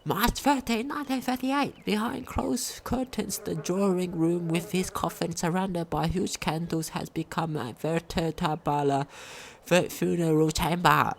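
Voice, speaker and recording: A woman's voice: lowish voice, unhurried, creaky. A woman reading diary entries aloud for a game commentary, stumbling over words and restarting as she goes.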